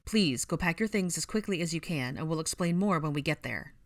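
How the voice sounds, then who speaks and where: A woman's voice: sits low, animated, fairly quick, no room sound, clean studio sound. A woman voicing a young male leader in an audiobook, giving orders in an urgent, commanding way. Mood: brisk, controlled.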